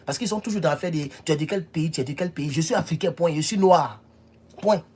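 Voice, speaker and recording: A man's voice: on the high side, brisk, a little mellow, breathy edge. A man from the Ivory Coast, giving emphatic political commentary in a home video and often repeating himself. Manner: emphatic, repeats himself, rises to insistent peaks.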